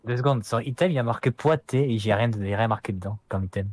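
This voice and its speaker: Quick-talking man, stumbling over words. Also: mid-range voice, a little mellow. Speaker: player. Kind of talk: casual chat during a tabletop game.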